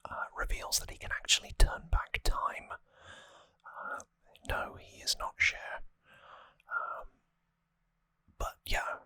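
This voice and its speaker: ASMR narrator: fully whispered, breathy, informal and explanatory, close-miked with no room sound. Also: dry recording. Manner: soft-spoken, informal, explanatory. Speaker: ASMR host. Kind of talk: ASMR whispered story recap. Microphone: close microphone, dry.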